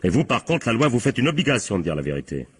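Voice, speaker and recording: An actor playing a sardonic police captain in a French radio crime drama, husky and colloquial, prodding with short tag questions. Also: male voice, mid-range voice, big pitch swings, quick pace. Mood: sardonic, probing. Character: male police captain, insistent, sardonic interrogator.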